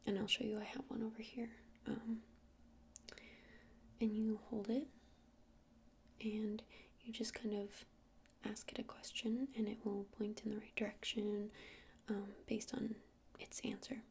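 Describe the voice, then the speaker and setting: Whispering ASMR woman, creaky edges. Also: medium pitch, little pitch movement, a little slow, darkish tone, a little hiss or hum. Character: friendly witch shopkeeper selling crystals and incense. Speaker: ASMR roleplayer playing a crystal shop witch. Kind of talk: whispered ASMR shopkeeper roleplay.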